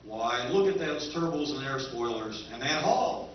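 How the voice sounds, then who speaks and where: A man playing a smooth-talking interstellar junk dealer, using persuasive salesman patter in a live recreation of a 1950s radio drama, recorded in an echoey hall from far off. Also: mid-range voice, expressive, measured pace, muffled recording, a little hiss or hum, close to an everyday voice.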